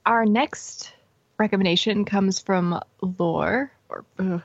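A woman's voice: mid-range voice, slow, no echo, highs cut off. A woman co-hosting a podcast, reading out listener messages in a friendly, easygoing way. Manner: friendly, laughs easily. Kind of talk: casual chat.

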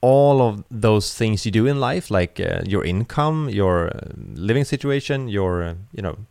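A man's voice: on the low side, animated, a little slow, creaky, a little mellow, clean tone, no echo. A man interviewing a guest in a casual conversation, asking curious, probing questions with casual fillers.

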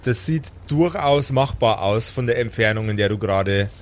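A man's voice: lowish voice, lively intonation, normal speed. A man acting as game master, improvising the narration of a fantasy tabletop audio game.

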